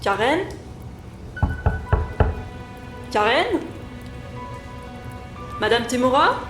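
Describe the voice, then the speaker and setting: A woman's voice: on the high side, big pitch swings, takes it slowly, roomy sound, over music. A woman playing a weary colony medic. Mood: wary. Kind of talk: amateur audio drama acting.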